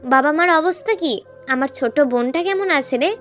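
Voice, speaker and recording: A woman's voice: sits high, expressive, normal speed, fairly bright tone, faint music behind. A woman voicing a sorrowful, humble young man cast out from home, plaintive and hesitant in story dialogue. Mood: pleading, homesick.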